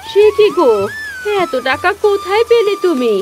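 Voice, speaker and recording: A performer voicing a homely village mother in a cartoon, unhurried and gently scolding. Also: extremely high pitch, expressive, music in the background, voice pushed up. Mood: surprised. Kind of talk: cartoon character dialogue.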